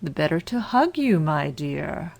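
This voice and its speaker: A woman voicing a big, wicked storybook wolf with a slow, falsely sweet, coaxing manner. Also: low-pitched, sing-song, creaky, a little mellow, exaggerated voice. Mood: falsely sweet, menacing.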